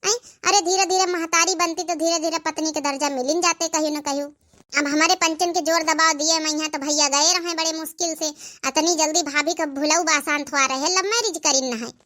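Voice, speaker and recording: Cartoon village sister, young, dutiful and fretful: piercingly high, bright, Awadhi-accented female performer. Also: steady tone, a little fast. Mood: troubled, earnest. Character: young village sister, dutiful, caring, worried for baby nephew. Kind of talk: family drama cartoon dialogue.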